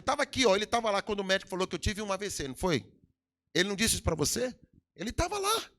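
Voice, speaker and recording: A middle-aged Brazilian pastor preaches in a Brazilian Portuguese accent, his high voice leaping up and down across a wide range. A gravelly edge runs through his delivery as he surges into emphatic peaks and then drops low again. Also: fairly bright tone. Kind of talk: sermon. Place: church hall.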